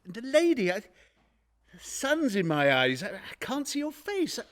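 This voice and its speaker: An actor playing a nervous, guilty murderer in a radio play, stammering and repeating himself in an over-eager, ingratiating way. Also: male voice, sits high, huge pitch swings, a little slow, fairly bright tone, heavily acted. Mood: over-eager welcome. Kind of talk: theatrical radio play acting.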